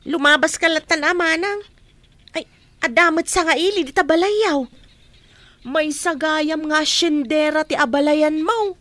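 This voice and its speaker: A woman's voice: high voice, unhurried, a bit bright, no room sound. A woman playing a proud, lecturing mother. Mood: insistent. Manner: insistent, lecturing. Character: proud, protective middle-aged mother. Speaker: Juliet's mother. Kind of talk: amateur radio drama acting.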